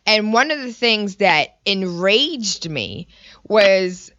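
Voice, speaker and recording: A woman hosting a morning radio show, interviewing a guest with emphatic, drawn-out phrasing. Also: mid-range voice, animated, pushed and bright, no room sound, dull audio.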